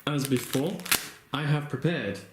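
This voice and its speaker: A man's voice: on the high side, measured pace, roomy sound, very noisy. A man casually narrating a felt craft project at home, chatty and hesitant with many ums and uhs. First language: not Japanese. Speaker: crafting vlogger. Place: home room, working at a table.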